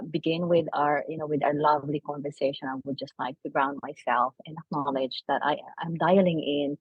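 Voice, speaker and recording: A woman's voice: low-pitched, husky, no room sound. A woman from the Philippines with a Filipino accent, a native Tagalog speaker, talking conversationally as an interview guest. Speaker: podcast guest, non-profit founder. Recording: dull lo-fi remote call audio.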